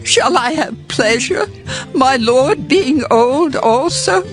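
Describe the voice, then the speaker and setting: A woman playing a very old, doubting wife in a dramatized scripture reading, with a drawn-out, lilting delivery. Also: high voice, expressive, faint music behind. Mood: amused disbelief.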